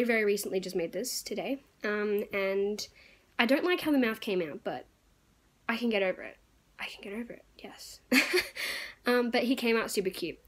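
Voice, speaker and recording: A young woman losing her voice, chatting casually and rambling as she shows off her crafts to viewers. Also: mid-range voice. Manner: chatty, rambling, lots of fillers and little laughs. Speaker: crafting YouTuber. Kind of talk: casual show-and-tell vlog.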